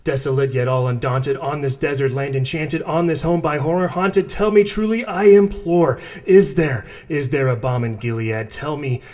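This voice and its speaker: A man's voice: a little high, normal speed, hardly any pauses, a little mellow, voice pushed up, lightly acted. A man playing a desperate, grief-maddened narrator of a gothic poem, crying out theatrically and pleading with passion. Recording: long cavernous reverb on the voice.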